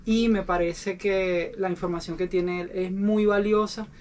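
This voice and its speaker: A man's voice: high voice, level tone, unhurried, a little mellow, a little hiss or hum. A man hosting a podcast aboard a sailboat, introducing his guest and asking friendly prompting questions. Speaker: podcast host. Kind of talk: casual podcast interview.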